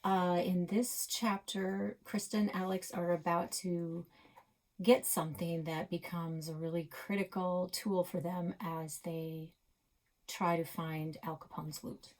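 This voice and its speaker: A woman's voice: fairly low pitch, flat delivery, unhurried, a little mellow. A woman reading a children's novel aloud as a library read-aloud host.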